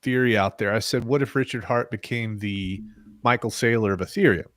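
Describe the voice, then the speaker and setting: A man's voice: fairly low pitch, fairly quick, fairly bright tone, no room sound, clean studio sound. A man, a guest on a crypto livestream, talking casually and speculating, with frequent restarts. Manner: rambling, speculative, many restarts. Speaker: guest, crypto project builder. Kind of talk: casual crypto podcast chat.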